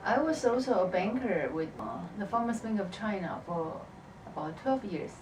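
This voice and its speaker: A woman's voice: mid-range voice, lively intonation, normal speed, darkish tone. Woman, 50s, brief wry interviewee, distant mic. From Taiwan. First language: Mandarin. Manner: brief, good-humoured answers. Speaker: interviewee, the host's mother. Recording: echoey room, distant mic, clear hiss.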